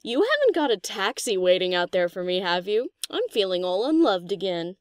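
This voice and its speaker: A woman's voice: mid-range voice, sing-song, moderate pace, no room sound, studio quality, natural voice. A woman voicing a cheeky, laid-back DJ pony in an audio story, with a casual, teasing, slangy delivery. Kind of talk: scripted audio story character acting.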